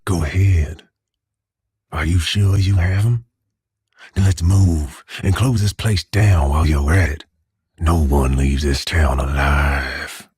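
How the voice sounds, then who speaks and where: Very raspy, deep gunslinger villain. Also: male voice, fairly even intonation, slow, darkish tone, no echo, studio quality, heavily acted. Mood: cold menace. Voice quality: husky, raspy. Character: menacing, sardonic cavalry gunslinger villain from Georgia. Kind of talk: dramatic video game cutscene acting.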